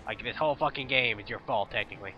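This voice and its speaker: A man's voice: medium pitch, fast talker, pushed and bright, lo-fi sound, a little hiss or hum. A man with a nasal, congested voice rambling and swearing while he commentates his game playthrough. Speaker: gamer commentator. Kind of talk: casual let's play commentary.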